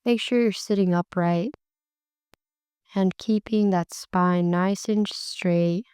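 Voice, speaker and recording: Slow, soothing woman guiding meditation. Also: lowish voice, fairly even intonation, smooth, no room sound, clean studio sound. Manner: slow, soft and soothing, drawn-out phrases. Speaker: meditation guide. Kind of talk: guided meditation.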